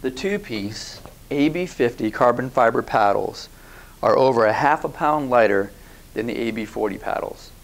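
A man in his thirties giving calm step-by-step product instructions on a lapel mic, recorded in a bare indoor room. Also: medium pitch, normal speed, creaky. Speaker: product demonstrator. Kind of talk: scripted instructional voice-over.

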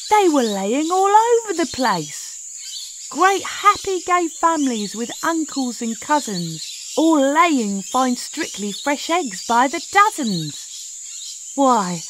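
Animated woman reading a children's storybook. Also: sits high, slight background noise, low-quality recording. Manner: animated, deliberate, playful storytelling. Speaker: storybook narrator. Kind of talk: expressive children's read-aloud narration of rhyming verse.

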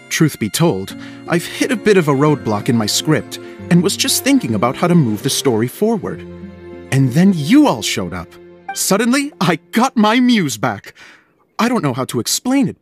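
An actor playing an excitable, flustered middle-aged theater director in a video game. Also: male voice, a little high. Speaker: theater director and scriptwriter character.

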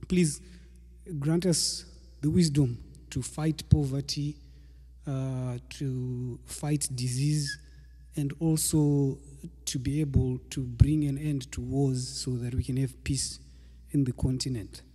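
Man in his 30s, calm, measured intro, husky low-toned voice with a long reverb tail. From Zimbabwe. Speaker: musician introducing his set. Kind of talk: spoken introduction to a live performance. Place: small indoor studio room with patterned wall hanging. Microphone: microphone on a stand in front of him.

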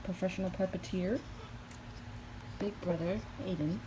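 A woman's voice: on the low side, fairly even intonation, moderate pace, background noise. A woman chatting casually as a parent filming at home, close behind a handheld phone. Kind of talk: casual chat. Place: indoors, living room at home. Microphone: handheld phone held by the speaker.

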